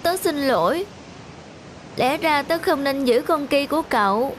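A woman voicing an earnest, timid, affectionate young boy in a cartoon, in a soft-hearted, hesitant manner. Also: sits high, animated, unhurried, slight background noise. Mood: ashamed. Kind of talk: Vietnamese cartoon dubbing.

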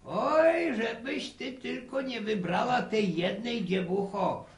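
A sly old country grandfather with a very gravelly, unhurried voice and rural Polish dialect. He teases and mocks in a folksy radio-play manner, repeating his words. The sound is roomy. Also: very high-pitched, heavily acted. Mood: teasing, warning. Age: older. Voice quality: creaky. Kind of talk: radio drama acting.

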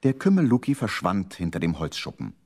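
A man's voice: low voice, very animated, brisk, a little mellow. A man narrating a children's radio play from the 1970s in a storytelling manner.

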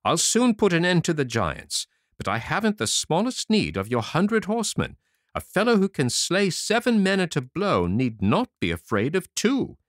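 A man's voice: a little high, wildly swooping pitch, normal speed, no room sound, studio quality, voice pushed up. A man voicing a boastful, plucky little male tailor in a storybook reading, cocky and bragging.

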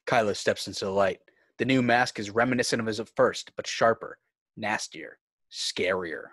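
A man's voice: lowish voice, normal speed, fairly bright tone, no room sound, clean studio sound. A man narrating screenplay scene headings and action in a scripted read. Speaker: narrator reading stage directions. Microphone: video call.

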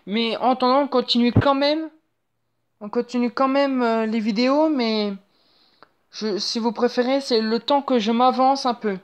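A woman's voice: a little high, steady tone, normal speed. A young woman hosting her own channel, chatting casually over a phone screen recording with lots of fillers and restarts. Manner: rambling, hesitant, many fillers and restarts. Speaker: channel host.

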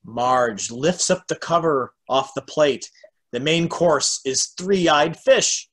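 A man's voice: on the high side, takes it slowly, a bit bright, slightly rough. A middle-aged man narrating stage directions for an amateur table read on a video call at home. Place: room at home.

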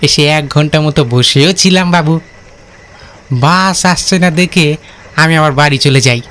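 A man's voice: on the high side, unhurried, a bit bright. A man voicing a helpful, nervous village van driver in a cartoon, deferential and hesitant, repeating his words. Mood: explaining kindly. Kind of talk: cartoon voice acting.

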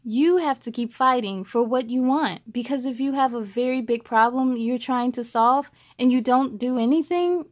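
Casting: young adult woman, high voice, children's storyteller, expressive and encouraging read-aloud delivery.

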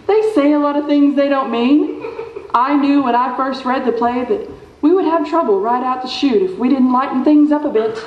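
A woman's voice: high-pitched, moderate pace, a little hiss or hum, close to an everyday voice. A woman playing a breezy, condescending small-town theater director in a radio show performed on stage. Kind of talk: old-time radio show acting on stage. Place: theater stage before an audience. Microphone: stand microphone, camera at a distance. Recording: dull, echoey live stage recording.

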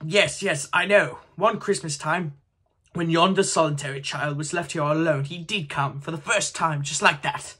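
A man's voice: a little high, normal speed, breathy edge, voice pushed up. A man voicing an ecstatic old miser. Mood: excited. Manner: excited exclamations, between laughing and crying.

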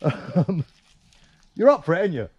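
A man's voice: sits high, very animated, measured pace, a little mellow, slightly rough, no room sound, muffled recording. Cheeky man in the woods. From England. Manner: cheeky, teasing banter with dry self-mockery. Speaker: camping vlogger. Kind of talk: casual outdoor chat to camera. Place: woodland camp outdoors. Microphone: camera mounted close on a tripod.

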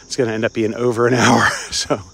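A man's voice: medium pitch, flat delivery, quick pace, vocal fry, pushed and bright, slight background noise. An older man from Cincinnati, rambling casually while walking outdoors and filming himself on a phone at arm's length. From Cincinnati, Ohio. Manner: rambling, chatty, frequent fillers, chuckles. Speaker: vlogger. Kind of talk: casual walk-and-talk vlog. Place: outdoors on a suburban campus walk. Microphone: phone held at arm's length, wireless earbuds.

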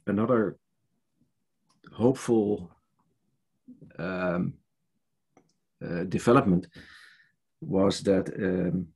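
A man's voice: on the low side, darkish tone, breathy edge. An older man from the Netherlands with a Dutch accent, speaking hesitantly with many fillers and restarts as an interviewee on a video call from his home study. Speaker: church leader interviewee. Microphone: headset on a video call.

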